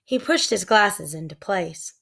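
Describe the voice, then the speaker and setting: Slow, expressive woman reading a storybook. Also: medium pitch, vocal fry. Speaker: storybook reader. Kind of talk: scripted narration for children.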